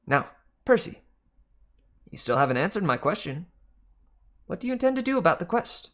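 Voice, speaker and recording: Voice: medium pitch, very animated, moderate pace, fairly bright tone, pitched above the performer's own voice. A reader voicing a mischievous, worldly male god, chatty and teasing, in a storybook reading. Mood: wry, probing.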